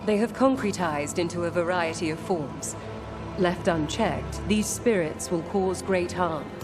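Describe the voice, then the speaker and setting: A woman playing a composed keeper of the dead in a fantasy video game, speaking in a measured, grave and formal way. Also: lowish voice, over music, lightly acted.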